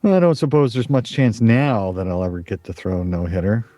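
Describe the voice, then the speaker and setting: A man's voice: lowish voice, steady tone, fairly quick, dark tone, no echo, natural voice. An actor playing an earnest, emphatic, wistful middle-aged man in a radio play.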